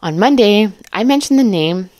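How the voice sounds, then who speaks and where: A woman's voice: mid-range voice, animated, normal speed, mellow, dry recording. A woman giving casual true crime commentary, chatty and rambling, with an emphatic, informal way of talking.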